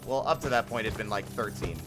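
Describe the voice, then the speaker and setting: A man's voice: fairly low pitch, quick pace, rough recording. A man chatting casually and expressively to viewers while live-streaming a game, with some swearing. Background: game music.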